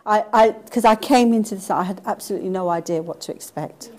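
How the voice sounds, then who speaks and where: A woman's voice: medium pitch, fast talker, darkish tone. A young woman from London, speaking emphatically and enthusiastically in a testimonial. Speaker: tour participant. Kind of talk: testimonial interview.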